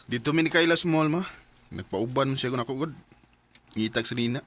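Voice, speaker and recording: A man's voice: medium pitch, fairly quick, fairly bright tone, natural voice. A man acting in a radio drama as an earnest young man fond of his best friend, with a Cebuano Bisaya Filipino accent. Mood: shy, defensive. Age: young adult.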